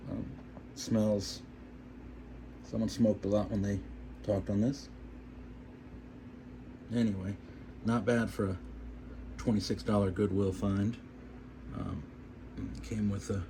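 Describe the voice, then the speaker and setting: A man giving a casual, unscripted hands-on video demonstration, plain-spoken and hesitant, in short trailing phrases. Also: low voice. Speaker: hobbyist presenter of a radio repair video. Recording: noticeable background hum and noise.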